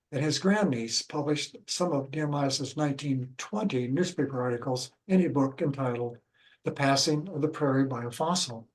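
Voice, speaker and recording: An older man with a Midwestern American accent reads a prepared history talk. His delivery is measured and careful, and his voice sits a little high for a man. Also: darkish tone, rough recording. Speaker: local historian giving a talk. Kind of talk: reading a prepared lecture.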